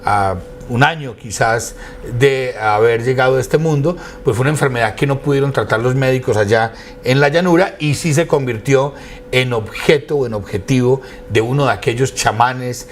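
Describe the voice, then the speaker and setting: A Colombian man with a medium-pitched voice speaks as an interview guest. He tells his story conversationally yet formally, with eloquent, elaborate phrasing, and some faint ambient noise sits behind him. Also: normal speed. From Quindío, Colombia. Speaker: interview guest, academic and radio station director.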